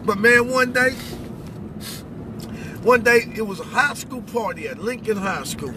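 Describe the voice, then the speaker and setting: Middle aged guy in a noisy truck cab, high voice, telling stories like he's preaching, real emphatic. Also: expressive, unhurried. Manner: colloquial, emphatic, repeats phrases for effect. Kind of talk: animated personal storytelling with preaching. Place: inside a pickup truck cab. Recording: noisy vehicle cabin.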